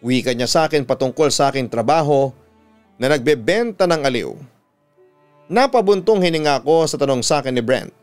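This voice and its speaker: A man with a Filipino accent, a radio announcer reading a scripted first-person story in a measured, dramatic storytelling delivery. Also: medium pitch, expressive, a little mellow. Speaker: radio announcer and host reading listener stories. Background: instrumental music.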